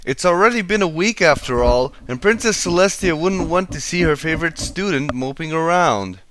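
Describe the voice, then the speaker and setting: A man's voice: fairly high pitch, animated, moderate pace, a bit bright, no echo, pitched above the performer's own voice. A man voicing a baby male dragon helper in a storybook reading, caring but nagging in a boyish, coaxing way.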